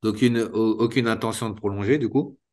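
Halting, low-voiced man on a video call. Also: steady tone, a little mellow. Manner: spontaneous, halting, many restarts and fillers. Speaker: retired footballer guest. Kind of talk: candid interview. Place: small room indoors. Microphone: video call webcam.

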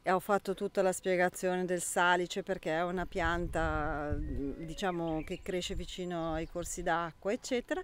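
A woman's voice: fairly low pitch, fairly even intonation, normal speed, few pauses, smooth. A middle-aged woman, explaining things informally in an outdoor interview. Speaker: volunteer of a garden association. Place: outdoors in an allotment garden. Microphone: handheld mic held out by interviewer.

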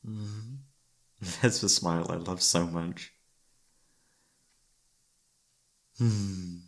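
A man's voice: low voice, slow pace. A man playing an exhausted, affectionate young boyfriend in an intimate ASMR roleplay, speaking hesitantly with sighs. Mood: apologetic, loving. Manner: tired, sighing, hesitant, soft-hearted.

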